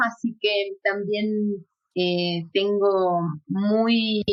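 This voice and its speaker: A woman raised in Ecuador talks as an interview guest, telling her story in a relaxed way with frequent laughs and filler pauses. Her voice sits at a middle pitch. The audio sounds somewhat dull and lo-fi. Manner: warm storytelling, laughs often, hesitant fillers. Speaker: guest, actress and yoga instructor. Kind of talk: conversational interview.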